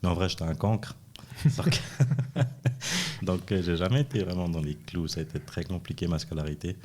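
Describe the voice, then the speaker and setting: Middle aged guy, really deep voice, chatting casually on a podcast, jokey. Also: normal speed, a little mellow, slight background noise. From born in Chile, raised in Switzerland from age five. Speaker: podcast guest, dance school founder. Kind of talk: casual chat.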